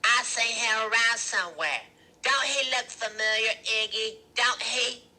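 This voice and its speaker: A man's voice: very high-pitched, a little slow, bright, forceful tone, voice pushed up, heavily acted. A man voicing a coarse, pushy woman from a biker crowd in an audiobook story, slangy and drawling. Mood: suspicious, musing. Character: brassy, squinty woman, biker gangster's coarse companion.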